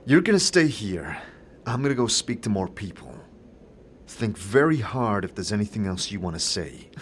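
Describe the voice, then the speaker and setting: A man's voice: lowish voice, very animated, normal speed. A man giving dramatised narration and acted dialogue for a fantasy game story. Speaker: game narrator and player character voice. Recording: clearly noisy background.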